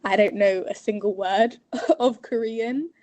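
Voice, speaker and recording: A woman's voice: mid-range voice, unhurried, darkish tone, no echo. A young woman, a student abroad, talking casually with many fillers in a dry, close recording. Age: young adult. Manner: talkative, filler-heavy. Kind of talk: casual conversation.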